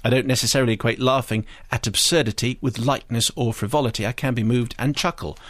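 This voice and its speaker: A middle-aged male radio host reads listener emails and chart positions at a brisk pace. His delivery is measured and dry. The recording is close with no room sound. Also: medium pitch, fairly even intonation, fairly bright tone, no room sound.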